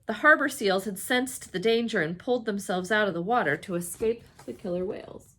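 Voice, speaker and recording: A woman reading a children's storybook aloud in an expressive, dramatic way, with emphatic exclamations and hushed suspense. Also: mid-range voice, fairly quick. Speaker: storybook reader.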